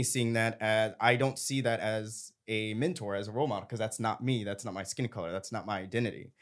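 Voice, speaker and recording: A man in his twenties from San Diego, co-hosting a podcast discussion in a measured, reflective way. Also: lowish voice. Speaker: podcast co-host. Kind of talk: casual discussion.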